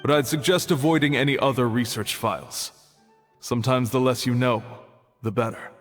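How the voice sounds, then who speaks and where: A man voicing a guarded, stern male archive keeper in a video game, speaking in a measured, cautioning way. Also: mid-range voice, steady tone, natural voice. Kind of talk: game voice acting. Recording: game audio with long reverb. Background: game music with flute.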